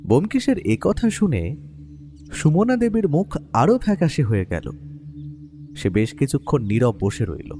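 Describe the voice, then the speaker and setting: A man playing a detective's observant writer companion, narrating a mystery in the first person with expressive, literary storytelling. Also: fairly high pitch, a little slow, pauses often. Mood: reflective, suspenseful. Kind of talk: scripted dramatic story narration. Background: clear music bed.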